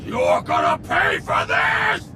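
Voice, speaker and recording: Very deep, gruff alien thug. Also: male voice, monotone, slow pace, shouting, noisy background. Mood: furious. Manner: curt, threatening. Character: gruff, menacing male alien thug buyer. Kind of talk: video game voice acting.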